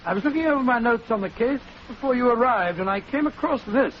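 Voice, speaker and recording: Genial old English doctor in 1940s radio mystery: high voice, blustery, halting, dull vintage sound. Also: male voice, expressive. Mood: genial storytelling. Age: older. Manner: hesitant, blustery, avuncular. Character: Doctor Watson, genial, bumbling older English gentleman. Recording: muffled, noisy 1940s radio recording.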